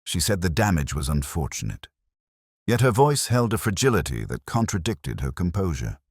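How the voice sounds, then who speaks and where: Male actor as weary inspector, extremely low pitch, husky, solemn scripted crime narration, measured delivery, close dry sound. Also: darkish tone, no room sound, full character voice. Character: brooding male police inspector, reflective and weary detective narrator. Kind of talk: scripted first-person detective narration.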